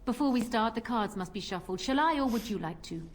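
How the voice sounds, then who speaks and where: A woman's voice: fairly high pitch, lively intonation, brisk, a little hiss or hum, lightly acted. An actress voicing a confident, flirtatious female pirate captain and duelist in a video game, with a teasing, theatrical manner. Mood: playful. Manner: teasing, self-assured, theatrical.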